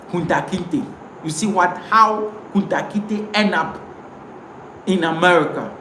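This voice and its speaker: A woman from Ghana with a Ghanaian accent, giving an impassioned live talk as host, emphatic and preachy, often repeating words. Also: fairly low pitch. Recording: roomy with faint noise.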